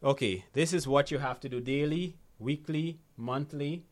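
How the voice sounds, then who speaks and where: A man's voice: on the high side, normal speed. A man from Guyana, a guest coaching on money habits, talking conversationally and often checking in with "right?". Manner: animated storytelling, frequent "right?" tags. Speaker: guest, financial literacy coach. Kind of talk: conversational interview.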